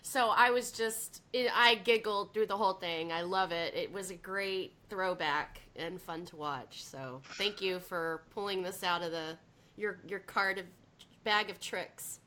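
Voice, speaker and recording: Bright, forceful woman in her 40s, head cold, boisterous co-host. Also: medium pitch, measured pace. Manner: loud, laughing, rambling. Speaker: podcast co-host. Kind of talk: casual chat.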